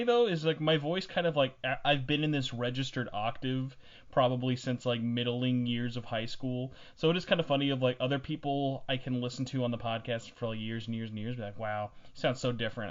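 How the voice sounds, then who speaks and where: Joking young male podcaster, slightly muffled sound. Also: medium pitch. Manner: joking, teasing. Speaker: podcast host. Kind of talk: casual podcast chat.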